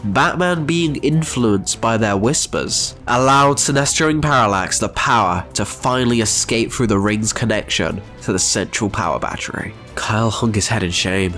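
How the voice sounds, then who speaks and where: A man with a mid-pitched voice delivers scripted story narration in a dramatic style. Faint music plays behind him as he narrates. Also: a bit bright.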